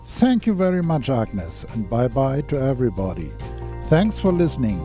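A man's voice: medium pitch, very animated, normal speed, music in the background. A man working as a podcast interviewer, speaking in prepared questions and remarks. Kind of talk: scripted interview questions and sign-off.

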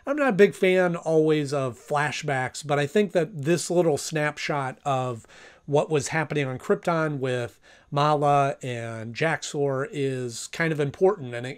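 Guy in his 30s chatting through a cartoon review, voice a bit high, small home room. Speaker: video host and reviewer. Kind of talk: casual episode review to camera.

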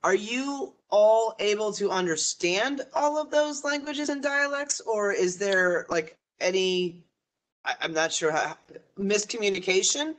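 High, lively male voice asking questions. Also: a little slow. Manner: hesitant, searching for words. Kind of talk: casual classroom questions.

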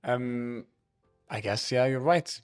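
A man's voice: medium pitch, slow pace, smooth, faint music behind. A man in his thirties co-hosts an interview at a studio microphone. His questions run long, with repeated words and restarts as he works toward his point. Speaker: podcast co-host. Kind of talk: casual interview talk. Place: podcast studio. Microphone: studio mic on stand.

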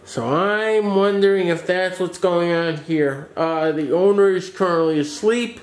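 A man with a fairly high voice talks slowly and steadily through a casual update from his computer shop. He explains each repair in plain terms, now and then restarting a sentence. His voice rings a little in an echoey small room. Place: small office workshop with desk, indoors.

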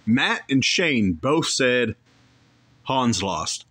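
A man's voice: medium pitch, big pitch swings, drawn-out words, heavy background noise. Guy hosting a podcast, rambles and backtracks a lot, voice goes up and down all over. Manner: rambling, opinionated, self-correcting. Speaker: podcast host reacting to a clip. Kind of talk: casual commentary.

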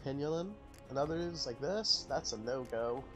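A man's voice: mid-range voice, animated, moderate pace, fairly bright tone, faint music behind. A man casually commenting on his strategy game, thinking aloud and correcting himself as he goes. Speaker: gaming channel host. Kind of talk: casual let's-play commentary.

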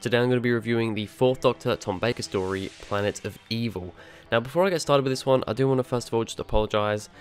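A man's voice: medium pitch, fast, soft background music. A young man giving a chatty, rambling spoken review, his voice a little nasal as he recovers from being sick. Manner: chatty, rambling, many ums and restarts. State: recovering from illness, slightly nasal. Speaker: video reviewer.

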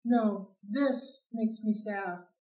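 A woman voicing an earnest young male satyr, speaking slowly and plaintively, recorded in a roomy space from a distance. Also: medium pitch, a little mellow, natural voice. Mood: sad. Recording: roomy sound, mic at a distance.

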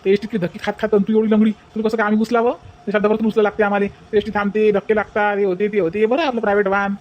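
A man's voice: high voice, little pitch movement, very fast, lo-fi sound, natural voice. A man playing an exasperated, talkative village father in a cartoon, grumbly and matter-of-fact.

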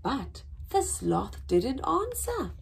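A young woman hosting a children's story time, reading aloud in an animated, deliberate way with drawn-out emphasis. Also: sits high, gravelly, background noise. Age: young adult. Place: small indoor room with painted backdrop.

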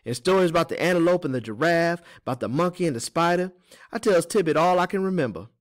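A kindly older cook and herbalist, acted by a man, speaks in a raised pitch. She is an enslaved woman in a storybook, gentle and earnest, with a folksy way of telling things. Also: animated, fast talker, close to an everyday voice. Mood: wistful.

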